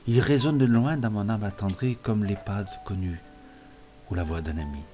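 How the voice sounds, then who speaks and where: Low-pitched man solemnly reciting poetry over music. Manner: measured phrases falling softer at line ends. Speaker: poetry reader. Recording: music playing behind the voice.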